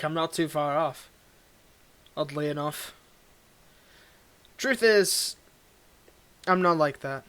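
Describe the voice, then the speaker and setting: A man acts out a domineering villain in an intimate audio drama, his voice pitched a little above the usual male range. He is cold and condescending with a secretly fond streak, delivering his monologue theatrically, as if right up against one listener. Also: clear, resonant tone. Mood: scornful, intense. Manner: condescending, theatrical, swinging between ranting and hushed murmurs. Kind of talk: scripted audio roleplay monologue, intimate address.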